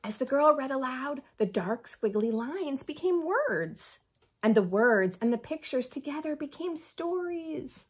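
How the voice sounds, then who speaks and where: An American woman in her thirties reading a picture book aloud with expressive, teacherly asides to young children. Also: high-pitched, a little slow. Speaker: children's story host. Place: small furnished room at home.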